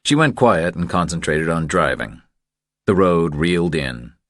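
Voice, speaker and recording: A man's voice: low voice, animated, a little slow, a bit bright, dry recording, studio quality. A man narrating a thriller audiobook from a script.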